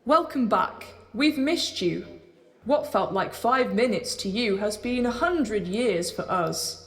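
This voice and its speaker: A woman's voice: a little high, lively intonation, takes it slowly, a little hiss or hum, heavily acted. A woman playing a pantomime narrator, telling the story directly to the audience. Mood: welcoming, storytelling. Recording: distant stage sound, loud music behind.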